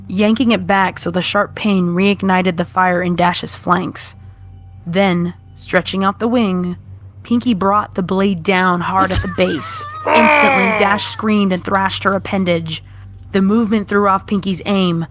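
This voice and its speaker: A woman's voice: mid-range voice, steady tone, measured pace, a bit bright. A woman narrator reading a scripted horror story.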